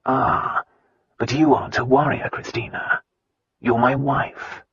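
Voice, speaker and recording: Narrator doing a laid-back lord, drawls, husky, dry sound. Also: male voice, mid-range voice, fairly even intonation, slow, dry recording, highs cut off, full character voice. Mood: amused. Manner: drawling, casual, softly amused. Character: easygoing, teasing aristocratic husband.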